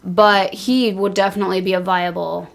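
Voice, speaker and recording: A woman with a medium-pitched voice co-hosts a podcast. She talks in a casual, conversational way, as part of a group discussion. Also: level tone, moderate pace, vocal fry, crowd noise. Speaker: podcast co-host.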